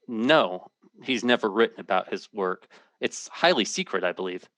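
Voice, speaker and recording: Worried american man in a radio drama, low voice, clipped n impatient, dry sound, hardboiled narrator type. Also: lively intonation, normal speed, fairly bright tone, no echo. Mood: guarded. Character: worried, impatient brother narrating his search for missing sibling. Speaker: lead actor and first-person narrator.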